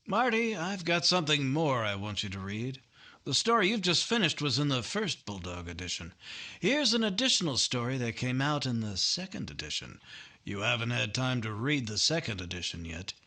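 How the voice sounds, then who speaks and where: A man playing a calm, insistent district attorney, pointed and authoritative, in a 1950s-style radio drama. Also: mid-range voice, normal speed, full character voice. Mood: firm, insistent.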